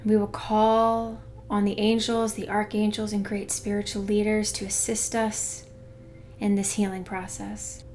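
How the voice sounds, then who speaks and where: Slow, even-voiced woman guiding meditation. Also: medium pitch, a little hiss or hum. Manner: soothing, unhurried, hushed in places. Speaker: meditation guide. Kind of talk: guided meditation narration. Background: background music.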